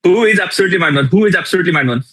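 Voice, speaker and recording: A young man with an Indian accent running a live tools workshop, energetic and repetitive, firing questions at his audience. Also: sits high, racing. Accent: Indian English. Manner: energetic, repeats phrases, asks the audience questions. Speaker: workshop instructor. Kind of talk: live online tool demonstration.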